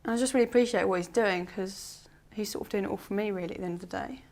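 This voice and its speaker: A young woman, a bride-to-be, talking softly and reflectively to camera, affectionate and laughing gently now and then. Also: medium pitch, very fast. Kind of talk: reflective documentary talk to camera.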